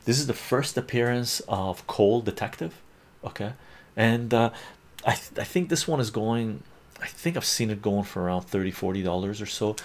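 A man's voice: on the low side, normal speed. An older man running a casual live-stream auction from a plant-filled room, chatty, repeating himself and laughing often. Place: sunny room full of plants, indoors.

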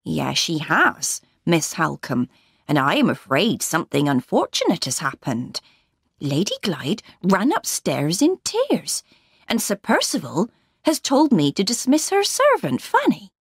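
Woman playing a worried, deferential housekeeper, slow. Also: on the low side, huge pitch swings, slightly rough, dry recording, studio quality, full character voice. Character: deferential, worried housekeeper bearing bad news.

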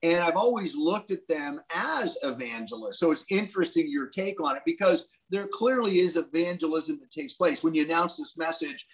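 Older man, fairly high voice, thoughtful and musing, video call from home. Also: animated, moderate pace, few pauses, rough recording. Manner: thoughtful, musing, many restarts. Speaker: co-host discussing Bible prophecy. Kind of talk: casual video call discussion. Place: home room indoors. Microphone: video call webcam.

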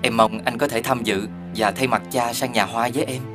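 A man plays a humble, hardworking younger brother in a fairy-tale cartoon. His mid-pitched voice is polite, deferential and earnest, with faint music behind it. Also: steady tone, fairly quick, lightly acted. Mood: polite, modest. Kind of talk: animated fairy-tale voice acting.

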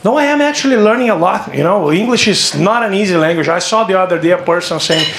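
A man imitating a fellow comic who speaks halting, earnest English with a foreign accent. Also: high-pitched, animated, vocal fry, roomy sound, noisy background, pitched above the performer's own voice.

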